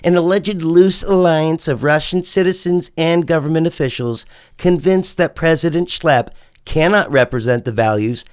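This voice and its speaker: An actor playing a serious news anchor in an audio drama, reading formal, measured and urgent scripted news. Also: male voice, a little high, darkish tone, smooth, close to an everyday voice. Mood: grave, urgent.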